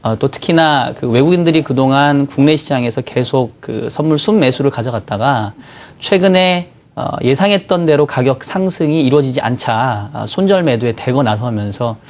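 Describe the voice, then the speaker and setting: A man with a cold-roughened voice, a bond strategy guest in a TV studio, explaining market moves in a measured way with many fillers. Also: medium pitch, expressive. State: hoarse from a cold. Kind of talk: financial market analysis.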